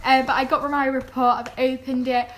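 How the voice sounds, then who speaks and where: Teen girl vlogger, croaky from a cold, a touch higher-pitched, chatty and rambling. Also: little pitch movement, normal speed, a bit bright, a little hiss or hum. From England. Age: teens. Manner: chatty, rambling with many fillers. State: croaky from illness. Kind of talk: casual vlog chat. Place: at home. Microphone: handheld phone, then camera.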